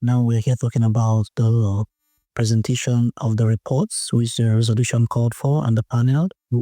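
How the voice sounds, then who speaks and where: A man's voice: medium pitch, warm tone, no echo, studio quality. A man with a Nigerian accent speaking as an activist in a panel discussion. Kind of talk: spoken discussion.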